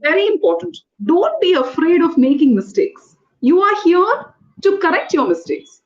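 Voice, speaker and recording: Woman, trainer, high voice, patient corrective teacher, muffled lo-fi sound. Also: sing-song, moderate pace. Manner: patient, corrective, spells words out letter by letter. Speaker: English trainer. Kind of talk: one-on-one English lesson.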